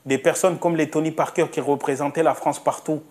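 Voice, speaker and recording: A man's voice: fairly high pitch, steady tone, fairly quick, a little mellow. A man delivering a spoken opinion monologue, insistent, repeating himself and asking rhetorical questions. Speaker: commentator.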